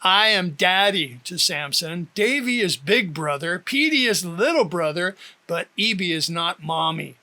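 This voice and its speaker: Author-narrator: man with a high, bright voice, expressive scripted first-person storytelling. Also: unhurried, hardly any pauses. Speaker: author reading own audiobook.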